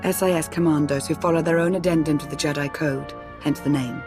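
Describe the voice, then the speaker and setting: An actress playing a composed but urgent intelligence minister in a video game, formal, clipped and businesslike. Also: female voice, sits low, steady tone, a little fast, music in the background, lightly acted. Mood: grave, businesslike. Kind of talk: video game cutscene acting.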